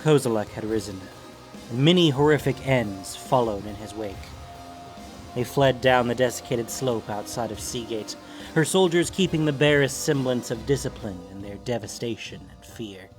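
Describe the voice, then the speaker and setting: A man narrating scripted fantasy fiction in a grave, deliberate way with theatrical emphasis. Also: medium pitch, soft background music, rough recording.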